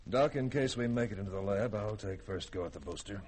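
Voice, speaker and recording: A man acting a gruff but caring starship skipper in a sci-fi radio drama, giving clipped military orders. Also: fairly low pitch, fairly quick, darkish tone. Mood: grim, resolute. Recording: hissy vintage radio drama recording.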